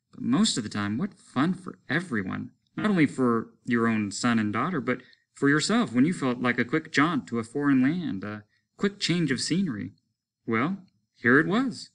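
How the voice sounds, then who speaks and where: A male teacher reading a short story aloud in a measured, descriptive way. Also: medium pitch, fairly bright tone.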